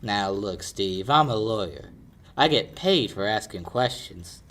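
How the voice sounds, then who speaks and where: A young actor playing a sardonic, pushy lawyer in a 1940s-style radio detective play. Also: male voice, lowish voice, lively intonation, measured pace, fairly bright tone. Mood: cool, accusing. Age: young adult. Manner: wisecracking, hard-boiled. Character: sardonic, pushy male lawyer turned investigator. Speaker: high school actor.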